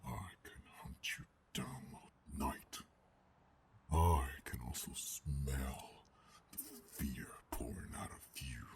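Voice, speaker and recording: Very deep, growling alpha werewolf. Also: male voice, exaggerated voice. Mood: predatory, taunting. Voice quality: gruff, growling, gravelly. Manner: formal, deliberate, menacing, half-whispered. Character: menacing male alpha werewolf, articulate, predatory, coldly controlled. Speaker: voice actor. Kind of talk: ASMR roleplay monologue.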